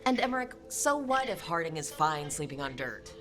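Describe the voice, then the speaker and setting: Wry, animated female adventurer. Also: sits low, normal speed, faint music behind. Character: wry, easygoing female adventurer leader mediating companions. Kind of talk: video game character dialogue.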